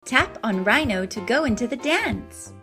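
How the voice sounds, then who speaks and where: A woman's voice: on the high side, expressive, unhurried, pushed and bright. A woman narrating a children's read-along story, with music behind her.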